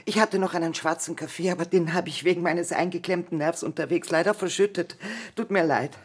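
Nice apologetic lady, husky voice, natural radio drama dialogue. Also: on the low side, steady tone, brisk. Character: apologetic, kindly woman back from an errand.

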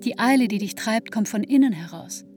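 An actress playing an introspective woman in her thirties, narrating a literary radio play in a measured, reflective way. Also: fairly high pitch, animated, close to an everyday voice. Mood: reflective, tense. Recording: music under the voice. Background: musical instruments.

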